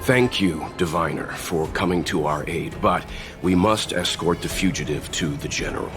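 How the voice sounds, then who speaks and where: Man acting a deep-voiced, composed serious leader. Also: over music, close to an everyday voice. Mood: polite, formal. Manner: measured, polite, firm. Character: composed, serious, dutiful middle-aged male leader. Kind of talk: scripted video game cutscene acting.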